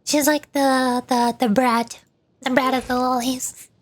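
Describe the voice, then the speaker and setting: A woman chatting casually and playfully on a gaming livestream, with animated delivery. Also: high-pitched, measured pace. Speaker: VTuber streamer, host. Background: background music.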